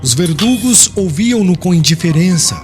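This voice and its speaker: Animated, high-pitched male narrator over music. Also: moderate pace. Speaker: audiobook narrator. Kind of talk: dramatic scripted narration of religious historical novel. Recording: music clearly behind the voice.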